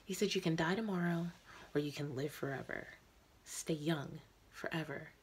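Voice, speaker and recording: A woman playing a sorrowful, immortal young woman in a dramatic monologue, confessing haltingly in a breathy, hushed voice. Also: sits low, a little hiss or hum, pitched below the performer's own voice, lightly acted. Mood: haunted, hushed. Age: young adult. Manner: halting, intimate confession with long pauses.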